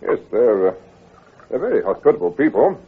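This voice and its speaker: An actor playing a genial, trusting gentleman heir in an old radio drama, husky and a little hesitant, on a muffled, tinny recording. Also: on the low side, sing-song, brisk, exaggerated voice. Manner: hesitant, stammering, hearty.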